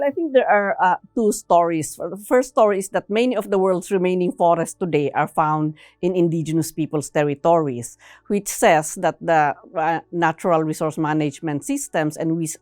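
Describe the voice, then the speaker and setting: A woman giving explanatory interview answers in a measured, halting way, sometimes tagging phrases with a questioning "no". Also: deep voice, fairly even intonation, darkish tone, dry recording, studio quality.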